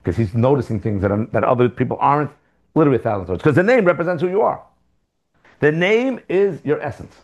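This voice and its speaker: A rabbi giving a lively Torah class in a classroom, asking rhetorical questions with sudden jumps in pitch and loudness. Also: male voice, quick pace. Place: classroom with students.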